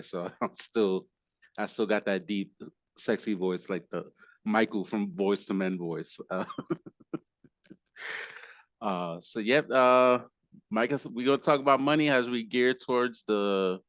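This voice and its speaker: Deep hoarse guy hosting a podcast, rambly with lots of uhs, dry close sound. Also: no echo. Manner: rambling with many fillers and restarts. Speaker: podcast host. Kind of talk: casual podcast interview.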